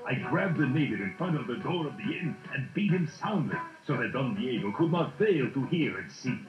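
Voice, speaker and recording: Man acting a villainous horse dealer, roomy and animated. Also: medium pitch, normal speed, over music, natural voice. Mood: conspiratorial. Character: villainous horse dealer, strong swindling bully with whip. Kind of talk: dramatised storybook recording acting. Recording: muffled, roomy storybook recording.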